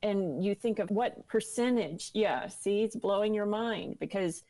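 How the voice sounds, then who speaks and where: A woman's voice: mid-range voice, measured pace, dark tone. A woman speaking earnestly and seriously as an interview guest, giving personal testimony.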